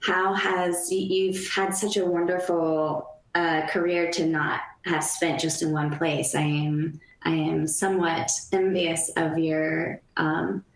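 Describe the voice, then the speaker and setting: A woman hosting an interview, asking long, winding questions with frequent restarts and warm affirmations. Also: low-pitched, flat delivery, slow pace, hardly any pauses, reverberant, poor audio. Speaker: interview host, ballet dancer.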